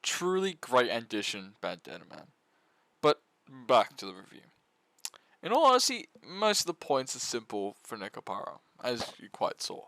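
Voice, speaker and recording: A man's voice: mid-range voice, wildly swooping pitch, normal speed, gravelly, fairly bright tone, rough recording. A man narrating a comedic scripted review as a video essayist, mock-serious, sarcastic and sweary.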